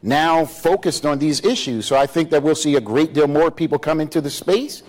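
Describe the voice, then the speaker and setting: A man speaking on stage as a panelist in a measured way, with occasional fillers and restarts. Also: on the high side, darkish tone. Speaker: panelist on justice reform. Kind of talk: panel discussion. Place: on stage at a live event.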